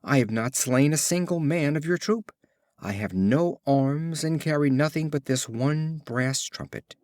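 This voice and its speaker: A man's voice: fairly high pitch, unhurried, a little mellow, no echo, clean studio sound, natural voice. A man voicing a captured army trumpeter in a storybook fable, earnestly pleading for his life.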